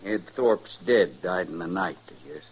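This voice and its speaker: An actor playing a bitter, defensive homesteader with a rural American accent, curt and coarse, in an old-time radio western. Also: male voice, low-pitched, expressive, measured pace, slightly rough, heavily acted. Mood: sullen, bitter. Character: hard, defensive, bitter starving homesteader man. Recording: vintage radio recording.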